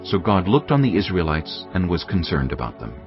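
A deep-voiced man reads scripture in a measured, solemn delivery. His very low voice moves widely in pitch. Soft music plays under a slightly dull recording. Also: sing-song. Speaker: narrator. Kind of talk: scripted Bible narration.

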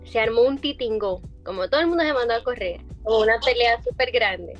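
A woman's voice: a little high, lively intonation, muffled recording, soft background music. A young woman from the Dominican Republic with a Dominican accent, chatting on a video call from her bedroom and explaining slang. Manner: rapid, laughs often, explains slang. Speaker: guest. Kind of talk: casual video chat. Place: bedroom at home.

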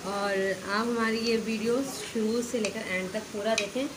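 A woman's voice: a little high, steady tone, moderate pace, crowd noise. A woman in a home kitchen tasting a finished dish and speaking directly to viewers in a friendly, casual way. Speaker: co-host of a home cooking channel. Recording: home video with noticeable background noise.

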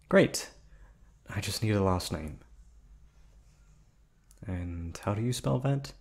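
A man voicing an obsessive male yandere hotel receptionist in an ASMR drama, silky and polite, sliding into menace and close whispers. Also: on the low side. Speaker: voice actor. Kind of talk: ASMR roleplay audio drama.

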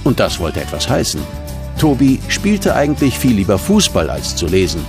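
A man's voice: fairly low pitch, huge pitch swings, normal speed, music in the background. A man narrating a scripted children's story as the storyteller of an audio drama.

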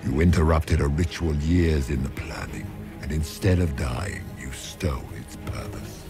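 A man's voice: extremely low pitch, a little slow. A man playing an ancient, monstrous would-be god, imperious and menacing, who declaims in pompous, archaic phrases for a fantasy game. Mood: cold menace. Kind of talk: dramatic fantasy game villain acting. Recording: loud dramatic music behind voice.

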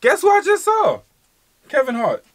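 A man's voice: extremely high pitch, huge pitch swings, moderate pace. A man in his thirties co-hosting a reaction video, reacting with slangy, hyped exclamations and praise in an indoor room. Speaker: reaction channel co-host. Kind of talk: casual reaction chat.

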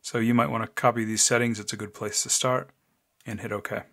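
Male tutorial host, deep voice, casual and methodical screencast narration into a desk mic. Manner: relaxed, talks through each click step by step. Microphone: desk microphone, voice-over of screen recording.

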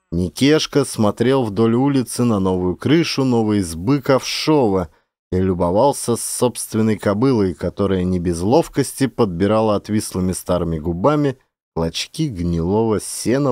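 A man narrating a literary story as a dramatized audiobook, with expressive, theatrical delivery. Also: on the low side, no room sound, studio quality.

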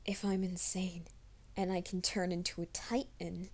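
Woman playing a young werewolf guy, whispered confession, low voice, flat slow delivery. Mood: anxious whisper. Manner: soft, hushed, often whispering. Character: troubled young man, secret werewolf, pleading. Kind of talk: fan audio drama, ASMR roleplay.

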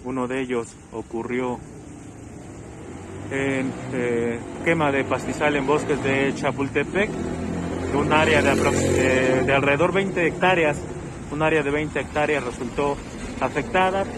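A man's voice: medium pitch, a little slow. Man reporting live from the street. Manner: steady factual reporting, some fillers. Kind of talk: live on-scene news report. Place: outdoors on a city street. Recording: noisy outdoor street recording. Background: street traffic noise.

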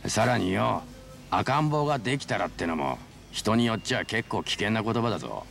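A gruff, plain-spoken man of the world in a video game. The voice is fairly deep with a heavy rasping creak, rough but measured. Light music sits behind it. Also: lively intonation, fairly bright tone. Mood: sober, advising. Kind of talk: dramatic Japanese video game voice acting.

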